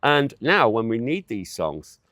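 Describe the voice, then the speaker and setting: A man's voice: mid-range voice, sing-song, unhurried, a bit bright, no echo, clean studio sound. A man in his forties hosting a casual, chatty interview outdoors in a park. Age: 40s. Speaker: interviewer, magazine series host. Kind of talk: casual on-location interview chat.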